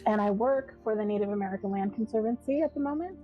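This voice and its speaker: A woman's voice: mid-range voice, quick pace, dull audio, faint music behind. A woman in her forties from California, speaking heartfelt and repeating herself as she searches for words. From Palm Springs, California. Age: 40s. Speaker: interviewee. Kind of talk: documentary interview.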